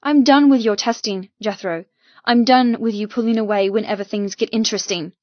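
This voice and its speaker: A woman's voice: a little high, fairly quick, no echo, muffled recording, lightly acted. A woman voicing a defiant young heroine in an audiobook, challenging and emphatic. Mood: challenging, insistent. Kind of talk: audiobook character dialogue.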